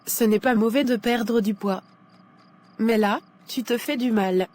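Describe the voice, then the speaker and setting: Woman acting a high-voiced, caring young friend. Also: a little slow, darkish tone, lightly acted. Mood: comforting. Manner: reassuring.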